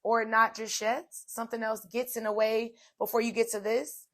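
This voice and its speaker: A woman hosting a solo inspirational talk, her voice slightly hoarse, chatty and direct, addressing viewers as "y'all.". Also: fairly high pitch, little pitch movement, moderate pace. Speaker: podcast host. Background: soft music playing.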